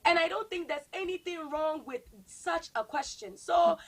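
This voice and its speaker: A woman's voice: extremely high pitch, moderate pace. A woman guest pastor speaking reflectively on a call-in show, stumbling and repeating words. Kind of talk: casual call-in discussion.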